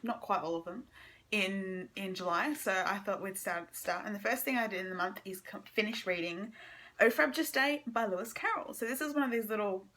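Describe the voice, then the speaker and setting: A young woman giving a casual, halting book review to camera, informally trailing off and circling back. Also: medium pitch, a bit bright. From Australia.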